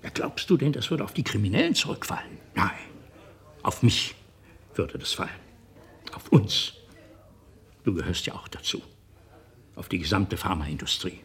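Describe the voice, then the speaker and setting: A man's voice: on the low side, wildly swooping pitch, normal speed, long pauses, fairly bright tone, slightly rough, heavily acted. A man playing a middle-aged company boss and father in a German radio drama, self-righteous and manipulative, with a patronizing, rhetorical manner. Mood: indignant. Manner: rhetorical, patronizing, swinging from reasoned to indignant.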